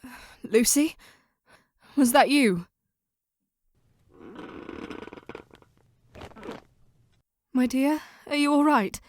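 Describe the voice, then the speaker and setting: A woman's voice: high-pitched, measured pace, a little hiss or hum. An actress playing an anxious young woman searching for her friend, speaking urgently but politely. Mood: worried. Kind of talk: audio drama acting.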